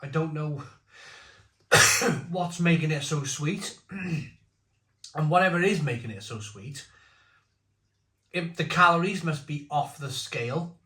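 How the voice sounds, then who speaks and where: A man's voice: a little high, slow pace, pauses often, sharp tone. A man in his forties giving a casual, opinionated beer review, talking bluntly in rambling asides. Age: 40s. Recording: roomy echo.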